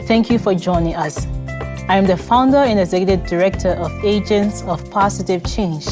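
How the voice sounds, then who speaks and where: Formal woman host over background music. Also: fairly low pitch. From Liberia. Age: young adult. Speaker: podcast host. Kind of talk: scripted podcast intro and interview.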